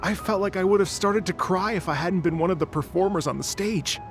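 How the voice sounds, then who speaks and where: A man's voice: high voice, fairly quick. An actor voicing an earnest, effusive young male musician in a video game, speaking in a heartfelt way. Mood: awestruck. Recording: music under the voice.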